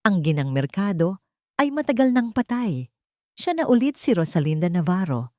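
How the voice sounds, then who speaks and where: Low-voiced woman narrating a sentimental story. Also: big pitch swings, normal speed, darkish tone, dry recording, clean studio sound. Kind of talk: scripted audio story narration, romantic drama.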